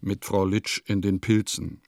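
Oddball country composer reading out his notes for a radio play, low gravelly voice, savours every name, super dry close sound. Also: level tone, measured pace, darkish tone, no echo. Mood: matter-of-fact. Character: eccentric village composer reading his musical notes and diary.

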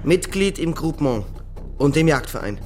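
An actor playing a gruff, sardonic and threatening provincial police lieutenant in a radio crime drama, with curt questioning. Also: male voice, a little high, sing-song, moderate pace, music in the background. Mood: pressing, menacing.

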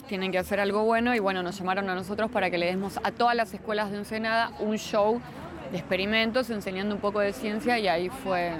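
A woman with an Argentine Rioplatense accent talks in an informal, slangy and opinionated way as an interview guest. Her pitch is average, and a busy crowd with urban noise is clearly audible around her. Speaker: interviewed guest, children's science entertainer and writer. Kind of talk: casual chat, answering interview questions. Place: busy brewery bar hosting an event. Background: crowd and street noise.

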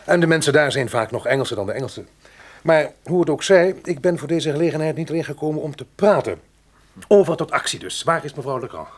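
A man acting a pompous, self-important Canadian gentleman with English airs in a radio play, speaking in flowery, theatrical phrases. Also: a little high, lively intonation, quick pace. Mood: grandiose, brisk.

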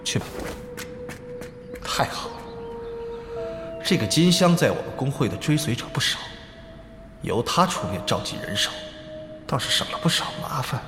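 An actor as a lively, scheming male guild boss. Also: mid-range voice, normal speed, long pauses, over music, lightly acted. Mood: brisk, calculating. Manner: calculating, argumentative. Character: scheming, hot-tempered male guild leader in an online game. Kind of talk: Chinese audio drama acting.

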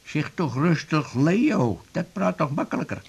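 An actor playing a stubborn older male patient, a heavy smoker, gruff, defiant and familiar with his doctor in a radio drama. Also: a little high, very animated, a little slow, exaggerated voice.

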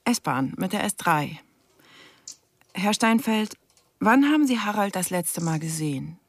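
Husky, creaky female detective. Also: medium pitch, expressive. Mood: patient. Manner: measured, questioning. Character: patient, probing female police detective. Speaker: police detective. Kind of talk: naturalistic radio crime drama acting.

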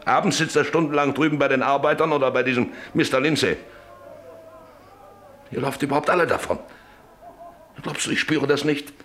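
An actor playing a bitter, bedridden middle-aged father in a radio drama, brooding and halting, repeating his phrases. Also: medium pitch, fairly bright tone, soft background music, close to an everyday voice. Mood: bitter, agitated. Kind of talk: German radio drama acting. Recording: hissy old radio drama recording.

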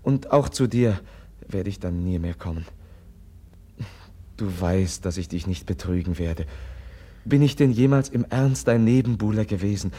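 An actor playing a gentle, compassionate young man in a 1950s German radio drama, speaking earnestly and softly, as if pleading. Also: on the low side, expressive, moderate pace, long pauses, breathy edge. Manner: earnest, soft-spoken and pleading.

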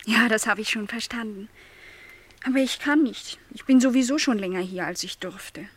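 A young housemaid in a radio drama, with a fairly high voice. She is shy and lonely, speaking politely and hesitantly, yet with gentle insistence. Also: moderate pace. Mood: polite, reluctant. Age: young adult. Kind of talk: literary radio play dialogue.

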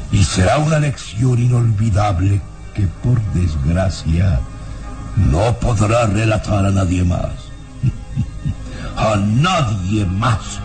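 An actor playing a scheming, menacing castle lord in a radio serial, with a theatrical, gloating manner. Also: male voice, deep voice, lively intonation, slow, vocal fry, over music, heavily acted. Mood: gloating menace. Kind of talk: old radio serial acting.

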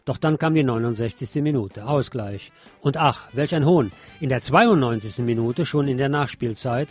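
A man reads scripted radio news with a slightly rough, husky voice at a medium pitch. He narrates reports in the manner of a magazine presenter, with faint music behind him. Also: normal speed.

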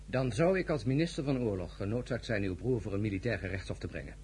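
An actor in a radio play as a blunt, stern French general and war minister, curt and formal. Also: male voice, fairly low pitch, animated, quick pace, a little mellow, slight background noise, natural voice. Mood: stern, impatient.

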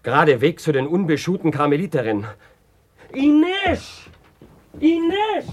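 An actor playing an ardent young gentleman in love in a radio play. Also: on the high side, wildly swooping pitch, normal speed. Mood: anxious, calling. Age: young adult.

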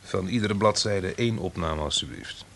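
An actor playing a coolly menacing, polite blackmailer in an old Dutch radio play with faint noise. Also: male voice, low voice, expressive, normal speed, vocal fry, fairly bright tone, exaggerated voice. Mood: cool, controlling. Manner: suave, commanding, precise.

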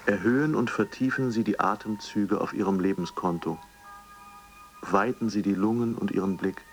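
A man's voice: fairly low pitch, normal speed, close to an everyday voice. Actor as polished male coach over music. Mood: assured, instructive. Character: slogan-spouting male motivational fitness coach. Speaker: actor in a radio drama. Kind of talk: scripted coaching instructions.

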